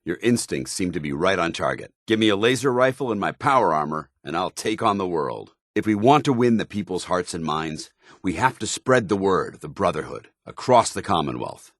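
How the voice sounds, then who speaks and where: Give this game soldier a very low, clean voice recorded close and dry. He is a stern, earnest paladin, formal and commanding, loyal to his order. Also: very animated, fairly quick, fairly bright tone, no room sound, full character voice. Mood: proud. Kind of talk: video game companion dialogue.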